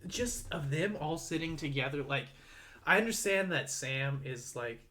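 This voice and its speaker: A man's voice: fairly high pitch, expressive, a little slow, pushed and bright. A young man co-hosting a podcast, chatting casually in a rambling way full of fillers. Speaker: podcast co-host. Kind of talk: casual chat.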